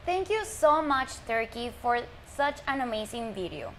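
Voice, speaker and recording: A woman's voice: on the high side, sing-song, normal speed, a crowd in the background. A woman hosting an online student showcase, announcing each country. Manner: announcing, welcoming. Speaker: event host. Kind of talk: scripted hosting.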